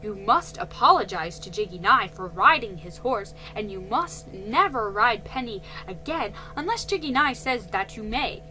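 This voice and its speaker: Woman reading as a calm, firm father. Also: mid-range voice, moderate pace, hardly any pauses, low-quality recording. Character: calm, firm father, middle-aged man. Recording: faint music behind.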